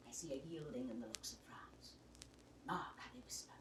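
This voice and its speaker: A woman's voice: extremely low pitch, moderate pace, a bit bright, whispered. Echoey, urgent scheming queen. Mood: urgent, conspiratorial. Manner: insistent, conspiratorial. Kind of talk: 1960s classical Shakespeare audio drama acting. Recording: echoey, distant, faintly noisy old recording.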